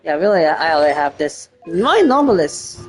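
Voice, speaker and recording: A woman's voice: sits low, sing-song, a little fast, faint music behind. A woman doing casual gameplay commentary as a streamer, thinking aloud in a hesitant way. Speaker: game streamer.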